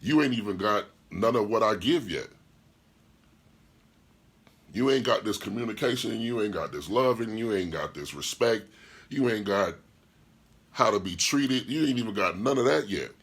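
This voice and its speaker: Deep, husky-voiced man ranting bluntly. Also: expressive, normal speed, long pauses, fairly bright tone. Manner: blunt, profane, hammering rhetorical questions; reads out and answers live chat comments. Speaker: podcast host and DJ. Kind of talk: opinionated podcast monologue.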